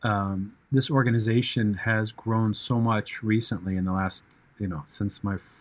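Man, middle-aged, from the US, low voice, earnest, measured, podcast guest. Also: steady tone. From United States. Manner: earnest, measured, frequent fillers. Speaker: charity founder, guest. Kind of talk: podcast interview.

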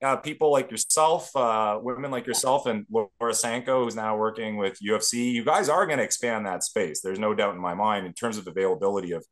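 A man's voice: lowish voice, a little fast, few pauses, a bit bright. A Canadian man co-hosting a podcast, speaking with enthusiasm and frequent restarts. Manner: enthusiastic, repeats words as he sets up a question. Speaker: podcast co-host. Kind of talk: casual podcast chat.

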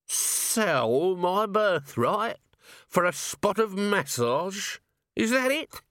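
A man voicing a drunk, swaying male watchman who boasts of his noble ancestry, in slurred, drawn-out speech. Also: high voice, sing-song, a bit bright, dry recording, clean studio sound, pitched above the performer's own voice, heavily acted. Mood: drunk boasting. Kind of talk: audiobook character voice.

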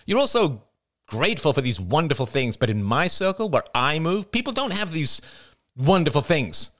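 A man's voice: on the high side, big pitch swings, moderate pace, a bit bright, pitched above the performer's own voice. A man voicing a bitter, defiant young woman with a nasal voice that rises to a shriek.

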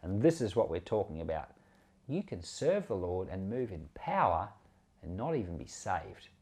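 Expressive male preacher, informal and earnest. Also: sits low, normal speed. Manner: conversational and earnest, repeats and rephrases for emphasis. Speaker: preacher and Bible podcast host. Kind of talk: informal sermon commentary on scripture.

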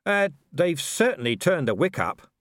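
A man voicing a shrewd, sardonic male commander turned diplomat in an audiobook, with a smooth, dry, insistent manner. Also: on the high side, big pitch swings, normal speed, a bit bright, no echo, pitched above the performer's own voice.

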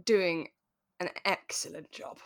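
Lower-pitched British woman chatting. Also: steady tone, takes it slowly, creaky. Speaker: podcast co-host. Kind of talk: relaxed chat reviewing a radio drama.

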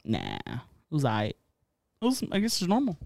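A young man streaming casual chat, teasing his friend with jokes and loud swings in volume. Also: high voice, wildly swooping pitch, moderate pace, heavy background noise.

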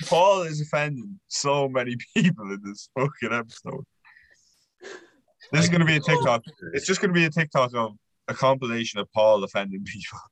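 A man's voice: medium pitch, lively intonation, brisk, fairly bright tone, no room sound, low-quality recording. A man co-hosting a podcast, teasing his friends and swearing casually. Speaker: podcast co-host. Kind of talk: casual banter.

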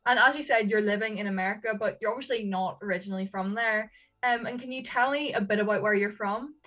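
A woman hosts an interview, her voice sitting at an ordinary middle pitch. She talks in a relaxed, chatty way, easing into each question with small fillers. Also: fast. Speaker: podcast host. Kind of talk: casual interview chat.

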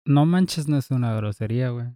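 A man's voice: medium pitch, animated, warm tone, no echo, clean studio sound. A young man with a Mexican accent, co-hosting a casual podcast chat full of slang. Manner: slow, drawn-out, casual slang. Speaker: podcast co-host.

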